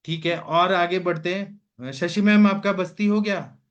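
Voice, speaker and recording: A man leading an online medical session, speaking bluntly with a run of rhetorical questions. His voice sits fairly high. The call audio is dull and lo-fi. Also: fairly even intonation, measured pace. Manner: direct, emphatic, rhetorical questions. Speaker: Ayurvedic doctor hosting an online consultation session. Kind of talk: casual instructive talk. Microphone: video call.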